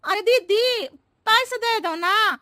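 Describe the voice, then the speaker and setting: Squeaky, very shrill cartoon housemaid. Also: female voice, slow, pushed and bright, dry recording, studio quality, heavily acted. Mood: whiny, pleading. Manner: rambling, circling riddles instead of answers. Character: roundabout-talking, scatterbrained housemaid woman. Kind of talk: exaggerated Hindi cartoon comedy acting.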